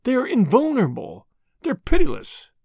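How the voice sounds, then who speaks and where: A man's voice: very high-pitched, huge pitch swings, moderate pace, gravelly, a little mellow, husky, dry recording, clean studio sound, pitched above the performer's own voice, full character voice. A man voicing a distraught male clergyman who complains and wails as if half out of his mind. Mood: terrified. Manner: complaining, wailing, half-demented.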